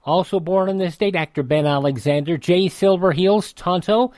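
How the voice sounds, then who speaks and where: A man's voice: sits high, moderate pace, a little mellow, no echo, studio quality. An American man hosting radio, reading a scripted rundown of events and dates in history. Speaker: radio host.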